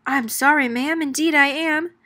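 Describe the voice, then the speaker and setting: A woman's voice: high voice, moderate pace, pushed and bright, voice pushed up. Woman doing a polite apologetic bumblebee. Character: apologetic male bumblebee car dealer.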